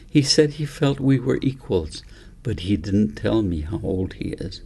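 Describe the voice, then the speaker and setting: An earnest, precocious five-year-old girl from a storybook recounts her dreams in careful, wordy sentences, acted out by a man. The voice is low and gravelly. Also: lively intonation, unhurried, warm tone, full character voice. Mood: eager. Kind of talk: storybook character reading.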